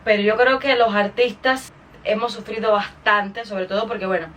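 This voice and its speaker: A woman's voice: mid-range voice, fairly even intonation, moderate pace, a bit bright, far from the mic, a little hiss or hum. A woman with a Cuban accent hosting her podcast in a chatty, informal monologue to her followers. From Cuba. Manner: chatty, informal, with English interjections. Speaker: podcast host and singer.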